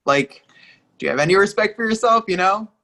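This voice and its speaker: A man's voice: sits high, expressive, brisk, sharp tone. An actor playing a brash, over-familiar man out at a bar in a play reading, chummy, teasing and pushy. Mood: chatty, probing.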